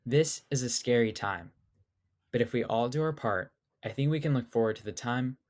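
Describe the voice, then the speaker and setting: A man's voice: medium pitch, normal speed, darkish tone. Earnest teenage boy reading his essay. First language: Spanish. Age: teens. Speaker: student essayist. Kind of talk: scripted personal essay reading.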